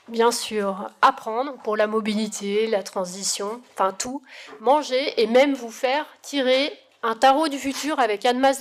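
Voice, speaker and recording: A woman, a councillor, informally announcing an event. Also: on the high side.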